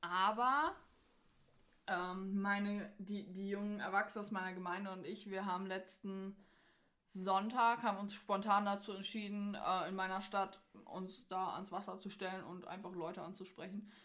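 A young adult woman co-hosting a podcast in casual, expressive and giggly conversation. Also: medium pitch, normal speed. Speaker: podcast co-host.

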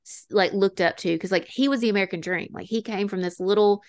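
A woman, roughly in her thirties or forties, hosting a casual true-crime podcast chat in a wry, self-deprecating way. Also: mid-range voice, fast. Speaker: podcast host.

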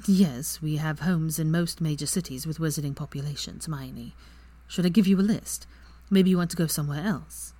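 A woman voicing a tender, brooding young wizard in a low, quiet, hushed voice. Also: normal speed, dry recording. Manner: quiet, hushed, near whisper.

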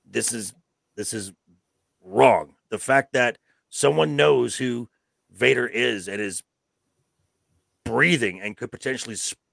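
A man co-hosting a podcast, speaking haltingly and emphatically, repeating words as he gives his opinions. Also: medium pitch, vocal fry, fairly bright tone, no room sound. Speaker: podcast co-host. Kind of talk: casual opinionated review chat.

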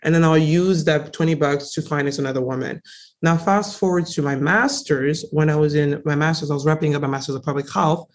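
A young woman from Congo, a native French speaker, talks as a guest in a relaxed interview. She leans hard on key words and tells her story with lively emphasis, sounding eager and direct. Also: low voice, brisk. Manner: emphatic, animated, frequently stresses words. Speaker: guest entrepreneur.

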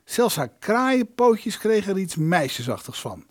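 A wry, scheming lodger in a radio drama, his voice somewhat high for a man. The sound is dry and studio-close. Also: big pitch swings, a little slow, no echo, heavily acted. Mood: wry reminiscing. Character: scheming, dryly witty male lodger among poor musicians. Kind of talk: radio play dialogue and storytelling.

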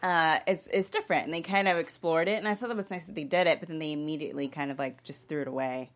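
A woman's voice: low voice, fast talker. A woman panelist in a casual discussion, rambling along with lots of filler "likes" as she works out her point. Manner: rambling, peppered with "like".

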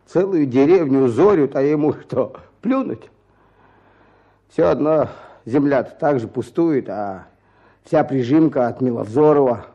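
An actor playing a weary, stubborn peasant petitioner back from prison, speaking plaintively in halting rustic phrases in a radio drama. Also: male voice, medium pitch, expressive, warm tone, muffled recording. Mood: earnest recounting. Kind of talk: Russian radio drama acting.

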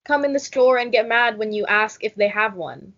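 A woman's voice: a little high, normal speed, fairly bright tone, lo-fi sound. Young woman, wry casual podcast co-host. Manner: casual, lots of fillers, wry and guarded.

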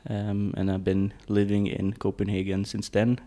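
A man in his thirties from Hungary, a tech co-founder, talking as a guest in a halting way with many restarts. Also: on the low side, monotone, mellow. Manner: halting, restarts, searching for words. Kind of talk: casual interview chat.

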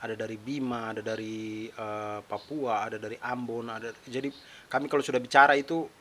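A man's voice: mid-range voice, steady tone, a little fast, a bit bright. A young adult man from eastern Indonesia with a mixed eastern Indonesian accent, hosting his own podcast in a chatty, self-deprecating solo monologue. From East Nusa Tenggara, Indonesia. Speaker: podcast host, stand-up comedian. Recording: faint hiss.

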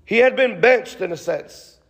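A man's voice: high-pitched, expressive, unhurried, gravelly, fairly bright tone. A man preaching a sermon and praying aloud in a casual, conversational way, full of restarts, with "God" and "Lord" tags. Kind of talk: sermon with spoken prayer. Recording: long reverb of a large hall.

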